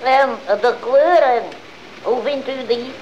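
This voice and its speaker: Actor as sly swindling weaver, ingratiating, slow delivery, fairy-tale audio play, faint noise behind. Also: sits high, fairly even intonation, a little mellow, muffled recording.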